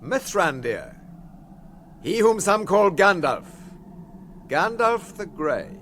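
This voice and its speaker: An actor playing a cunning, haughty wizard in a radio fantasy drama, with grandiose, silky, theatrical delivery. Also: male voice, sits high, very animated, slow pace, a bit bright, a little hiss or hum, exaggerated voice. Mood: sly, haughty.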